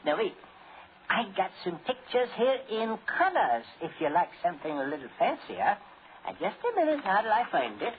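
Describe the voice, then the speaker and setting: High, swooping folksy old salesman. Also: very animated, moderate pace. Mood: eager, flustered. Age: older. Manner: folksy, rambling, persistent, eager to please. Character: eccentric, eager, polite old coffin salesman. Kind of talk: 1940s radio acting. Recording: scratchy, tinny 1940s radio recording.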